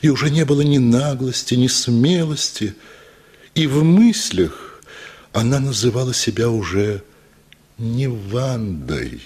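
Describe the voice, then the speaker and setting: A man narrating a literary story for a radio play, telling it expressively with dramatic pauses. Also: medium pitch, expressive, a little mellow, husky.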